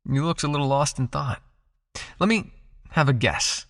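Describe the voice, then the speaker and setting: A possessive male vampire, played by a man, coaxes and commands his captive in intimate hypnosis roleplay. His soft, teasing voice has a clear gravelly fry to it. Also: medium pitch, moderate pace, natural voice.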